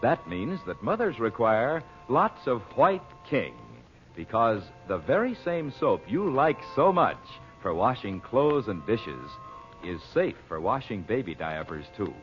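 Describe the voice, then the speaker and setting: A male announcer reading a sponsor pitch in the style of 1940s radio. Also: very animated, measured pace. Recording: muffled old radio recording. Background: music.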